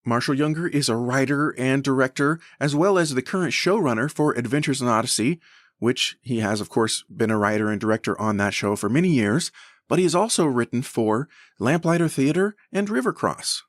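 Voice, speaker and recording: A man's voice: medium pitch, expressive, a little fast, no room sound, studio quality. A man hosting a podcast interview in a conversational style.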